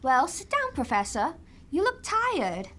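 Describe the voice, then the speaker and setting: A woman's voice: sits high, wildly swooping pitch, measured pace, a bit bright, a little hiss or hum, full character voice. A woman playing a gracious, witty professor's wife in a comedic radio drama, polite and lightly wry. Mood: kindly. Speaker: student radio drama actor. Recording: music behind the voice.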